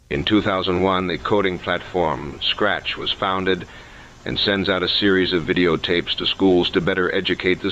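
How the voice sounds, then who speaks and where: A man acts a sceptical investigator with a deep voice, dictating case notes in an analog horror story. He is deliberate and analytical, on a dull recording with faint noise behind him. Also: fairly bright tone. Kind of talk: scripted analog horror narration.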